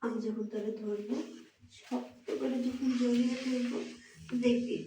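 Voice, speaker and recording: A woman's voice: fairly high pitch, fairly even intonation, unhurried, warm tone, natural voice. A woman acting a bold, impatient, seductive married sister-in-law in a short film, coaxing insistently with a Bangladeshi Bengali accent. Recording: very echoey room, distant mic, faint noise.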